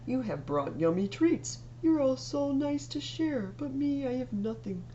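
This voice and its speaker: A woman voicing a kindly, humble bear in a children's storybook, with drawn-out, plaintive exclamations. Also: high-pitched, expressive, mellow, lo-fi sound, a little hiss or hum, voice pushed up. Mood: downcast.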